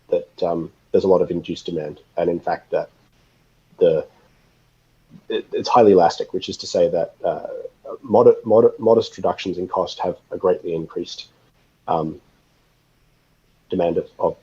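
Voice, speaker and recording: Slightly lo-fi audio carries a man's deep, husky voice. He is an expert guest in a casual interview, reasoning through his explanations thoughtfully and haltingly. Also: warm tone.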